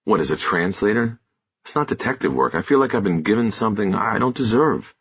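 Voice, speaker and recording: A man's voice: on the low side, expressive, a little fast, fairly bright tone, slightly rough, voice pushed up. A man voicing an earnest, self-doubting young female detective in audiobook dialogue.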